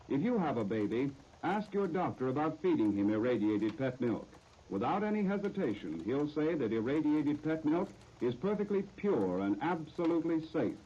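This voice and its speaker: A man's voice: medium pitch, dark tone. An American man working as a 1930s radio announcer, reading sponsor copy in a formal, persuasive way. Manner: formal, persuasive salesman phrasing. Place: radio studio. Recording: dull, noisy 1930s radio disc recording.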